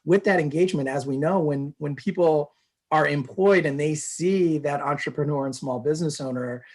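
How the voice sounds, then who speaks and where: A man's voice: on the high side, normal speed, a little mellow. Male executive guest, earnest and upbeat, casual phrasing. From New Hampshire, USA. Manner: earnest, upbeat, uses "you know" often. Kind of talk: conversational interview answers.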